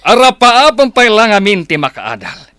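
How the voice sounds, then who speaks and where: An actor playing a stingy middle-aged uncle in a radio drama, gruff and dismissive, with an Ilocano accent. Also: male voice, high-pitched, huge pitch swings, normal speed, sharp tone, no echo, clean studio sound, exaggerated voice. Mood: scornful.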